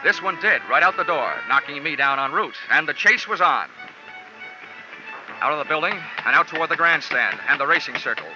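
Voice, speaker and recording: A man's voice: fairly high pitch, lively intonation, normal speed, natural voice. A man playing a wry, tough, unruffled insurance investigator, narrating and acting in old-time radio detective style. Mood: wry narration. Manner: wry, hard-boiled, unruffled. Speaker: lead actor and narrator. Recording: dull old radio recording with music.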